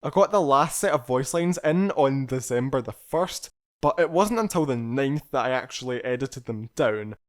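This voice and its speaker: A man's voice: mid-range voice, animated, moderate pace. A man narrating a scripted personal retrospective as a video creator, speaking in a candid, reflective and articulate way.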